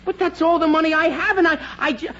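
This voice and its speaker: A man's voice: very high voice, fairly even intonation, fast, a bit bright. A man playing a hapless, naive teenage boy in a 1940s radio comedy, stammering, pleading and exclaiming. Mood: pleading, flustered. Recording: muffled, noisy 1940s radio recording.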